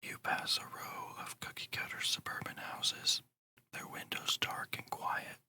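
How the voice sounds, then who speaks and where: Whispered, breathy ASMR meditation narrator. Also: pushed and bright. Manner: slow, soothing, deliberate guiding.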